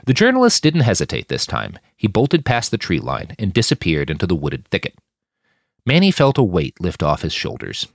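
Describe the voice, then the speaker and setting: A man's voice: low-pitched, wildly swooping pitch, normal speed, gravelly, no echo, clean studio sound. A man reading a fiction story aloud as a dramatic audiobook narrator. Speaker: author narrating his fiction podcast.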